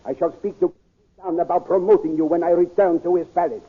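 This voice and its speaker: A man playing a calm space commander in an old radio drama, measured and authoritative. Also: high voice. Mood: commanding. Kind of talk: 1930s radio drama acting. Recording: tinny, narrow old radio recording.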